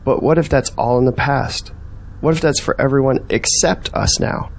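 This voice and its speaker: A man's voice: medium pitch, moderate pace, creaky, natural voice. Earnest man, searching and persuasive, questioning manner, audio drama dialogue, acted by a man. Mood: earnest, pressing.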